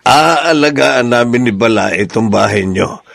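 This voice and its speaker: Creaky, slow, kindly old father. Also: medium pitch, animated, a bit bright, heavily acted. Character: long-suffering, kindly elderly father. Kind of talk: Filipino radio drama acting.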